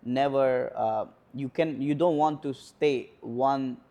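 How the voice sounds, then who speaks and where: A man in his twenties with an Indian accent, a guest answering questions in a casual interview, hesitant with many pauses and fillers. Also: medium pitch, steady tone, dark tone. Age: 20s.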